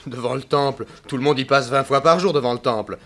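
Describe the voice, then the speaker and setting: A young village potter in an old French radio play. His voice is mid-pitched, and he talks in a chatty, cheeky way with a defensive edge. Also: fast talker, fairly bright tone. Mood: indignant. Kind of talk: 1960s French radio drama acting.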